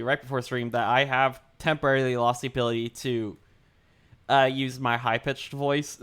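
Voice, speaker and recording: A young man streaming while he draws, chatting casually with his viewers, his throat dry and sore and his voice a little deeper than usual. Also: fairly bright tone, clean tone. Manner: rambling, chatty, greets chat, repeats himself. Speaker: art livestreamer. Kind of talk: casual livestream chat.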